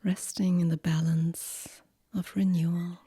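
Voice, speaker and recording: A woman guiding a yoga nidra meditation in a hushed, breathy near-whisper, gentle and soothing, with long pauses between phrases. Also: on the low side, level tone, no room sound. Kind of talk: guided relaxation meditation, soft and whispery.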